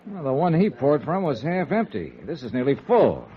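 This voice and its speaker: An American actor playing a wry, hard-boiled insurance investigator in 1950s radio noir style. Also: male voice, a little high, very animated, normal speed, dull audio. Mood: alert, explaining. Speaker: lead actor and narrator. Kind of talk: 1950s radio noir acting and first-person narration. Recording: band-limited vintage radio recording with music.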